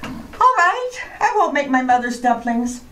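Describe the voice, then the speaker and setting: A woman playing an easily indignant housewife with a deliberate delivery in an old-time radio comedy. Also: high voice, big pitch swings, pushed and bright, recorded from a distance, slight background noise, heavily acted. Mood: huffy. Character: huffy housewife and mother.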